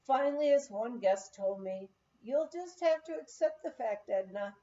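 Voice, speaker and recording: A woman's voice: a little high, lively intonation, normal speed, dark tone, muffled recording. A woman reading a memoir aloud as scripted narration, in a storytelling manner. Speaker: audiobook reader.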